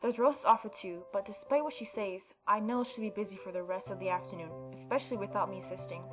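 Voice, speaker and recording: A woman's voice: mid-range voice, fast talker. Woman reading a game aloud over music. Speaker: gaming YouTuber. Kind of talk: reading game narration aloud with casual asides. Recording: music behind the voice. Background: visual novel game music.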